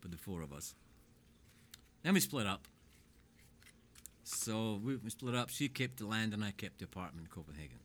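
An older man with a fairly low voice spins loose, rambling tales, swearing freely as he goes. A faint hum of noise sits under the recording. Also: moderate pace, long pauses. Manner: rambling, animated anecdotes with swearing. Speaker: guest telling his life story. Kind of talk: casual storytelling chat.

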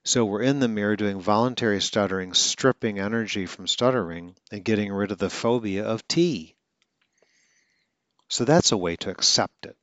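Low-voiced man, earnest speech coach. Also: moderate pace, fairly bright tone, no echo. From Atlanta, Georgia. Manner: earnest, with occasional stutters and self-corrections. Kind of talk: casual talk.

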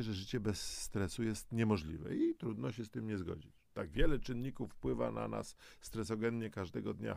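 Male presenter for educational audio: lowish, creaky voice, explanatory delivery that speaks straight to the listener. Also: no room sound, clean studio sound. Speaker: presenter of a health audio programme. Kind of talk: scripted educational narration with conversational asides.

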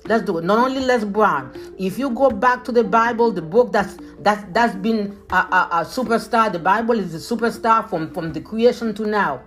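A woman's voice: medium pitch, normal speed, few pauses, soft background music. Emphatic Haitian-accented woman motivational speaker. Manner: emphatic, repeats phrases, addresses listeners as leaders. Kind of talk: motivational podcast talk.

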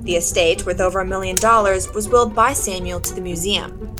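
A woman playing a sharp, accusing detective in a 1940s-style radio drama, commanding and sardonic as she interrogates. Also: mid-range voice, fairly even intonation, a little fast, natural voice. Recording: dramatic music behind the voice.

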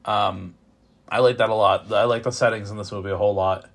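A man reviewing films in casual, unscripted talk, opinionated and informal, with a halting delivery that repeats words as he goes. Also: on the low side, fairly even intonation. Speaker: film reviewer.

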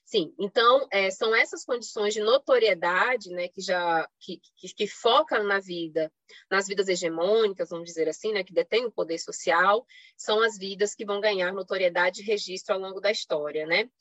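A Brazilian woman with a mid-pitched voice presents in an academic, semi-improvised lecture style. She explains step by step, leaning on rhetorical questions and short tag checks. The sound is dry and a little lo-fi, as on an online call. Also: normal speed, fairly bright tone, no room sound. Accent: Brazilian Portuguese. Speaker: academic panelist giving a presentation. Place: online live stream. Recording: slightly dull lo-fi online call audio.

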